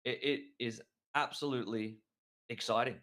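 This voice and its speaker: Excitable man talking trading. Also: medium pitch, normal speed. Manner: excitable, rambling, restarts often. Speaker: crypto trading show co-host. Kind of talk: enthusiastic market analysis chat.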